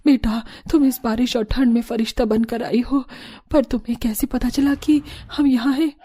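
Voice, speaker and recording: A woman's voice: on the high side, steady tone, moderate pace, dark tone, husky. A woman voicing a poor, emotional grandmother in a cartoon story, with a pleading manner. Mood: grateful. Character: poor, anxious, grateful grandmother.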